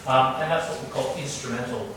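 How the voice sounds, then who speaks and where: This middle-aged man from Canada lectures slowly and haltingly, filling gaps with ums and restarting his sentences. He sounds far from the recorder in a large, very echoey hall, with clear background noise. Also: medium pitch. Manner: halting, many fillers and restarts. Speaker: guest lecturer. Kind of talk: academic lecture.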